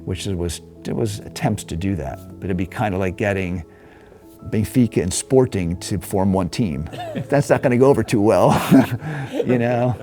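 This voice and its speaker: Deep-voiced man telling local history. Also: normal speed, mellow, faint music behind. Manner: rambling, restarts, chuckles. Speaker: interviewee. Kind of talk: casual storytelling interview.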